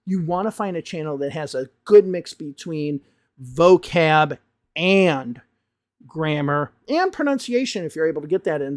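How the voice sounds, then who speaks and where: A man, an English teacher, giving emphatic, animated commentary on a video he is reacting to. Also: a little high, no room sound, clean studio sound.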